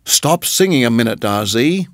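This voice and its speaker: A man voicing a brave young male mongoose who speaks briskly and impatiently. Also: mid-range voice, expressive, no echo, clean studio sound, lightly acted. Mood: urgent, impatient.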